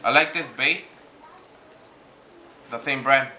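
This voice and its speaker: Bright-voiced man talking haltingly in an echoey room. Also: medium pitch. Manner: hesitant, halting, switching between two languages. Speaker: vlogger. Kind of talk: casual unboxing review, talking to viewers. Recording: echoey room with faint background noise.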